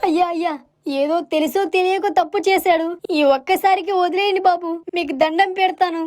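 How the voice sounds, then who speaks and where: A woman voicing a poor, caring, anxious village mother in an animated moral story, with an entreating way of talking. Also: very high voice, fairly quick, faint music behind. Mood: begging.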